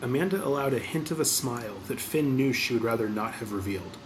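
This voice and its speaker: A man narrates an audiobook from a script. His voice has a middling pitch, and faint ambient noise runs behind it. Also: lively intonation, fast talker.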